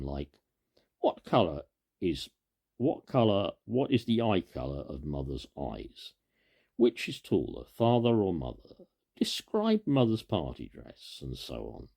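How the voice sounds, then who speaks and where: Man, storyteller, gravelly and husky, hugely varied intonation, emphatic children's book reading. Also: low-pitched, a little mellow.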